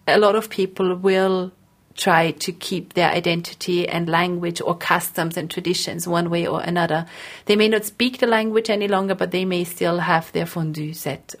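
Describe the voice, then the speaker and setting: A woman interviewed as a researcher, speaking in a slightly low voice. She explains things thoughtfully, often circling back over a phrase as she builds a point.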